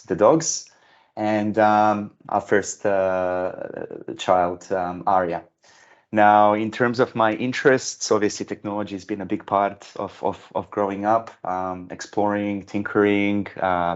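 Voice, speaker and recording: Man, Bosnian accent, late 30s, low voice, hesitant chatty delivery, dull video call sound. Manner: rambling, many ums and uhs. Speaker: software engineer at a tech company. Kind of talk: casual self-introduction on a video call.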